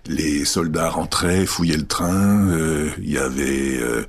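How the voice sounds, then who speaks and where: A middle-aged man with a very low, husky voice, a native Czech speaker talking in a halting way. He reflects slowly, pausing often and hesitating between thoughts as he answers in an interview. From France. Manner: halting, many pauses and hesitations. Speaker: guest.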